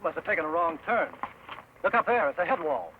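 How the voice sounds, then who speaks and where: Man acting a high-pitched, urgent western lawman. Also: animated, a little fast, phone-quality sound, natural voice. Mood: urgent alarm. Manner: urgent, dramatic. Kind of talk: old-time radio western acting. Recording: hissy old radio broadcast recording.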